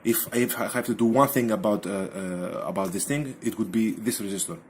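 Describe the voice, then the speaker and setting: Halting low-pitched man over clear background noise. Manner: halting, hesitant, frequent long ums. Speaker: hobbyist presenter. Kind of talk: unscripted technical explanation.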